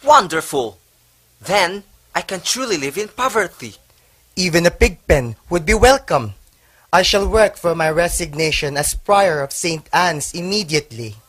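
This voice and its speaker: Man playing an eager, husky-voiced friar. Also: on the high side, lively intonation, measured pace, a bit bright, exaggerated voice. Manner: earnest, reverent. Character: devout, eager Spanish friar embracing poverty. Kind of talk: amateur historical stage play acting.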